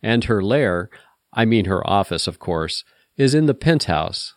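A man's voice: lowish voice, lively intonation, a little slow, no room sound, clean studio sound. A man voicing a playful, teasing and bossy female secretary in an audiobook story.

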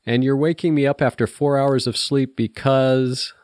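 A man's voice: mid-range voice, fairly even intonation, moderate pace, a little mellow, no echo, voice pushed up, close to an everyday voice. A man playing a grumpy, sardonic private investigator in an audiobook, dry and wisecracking.

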